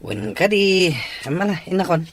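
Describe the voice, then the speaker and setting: A man's voice: high-pitched, very animated, a little slow, a bit bright, full character voice. A man acting a struggling, jobless father who is firm with his young daughter, in an Ilocano radio drama with an Ilocano accent. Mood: pleading.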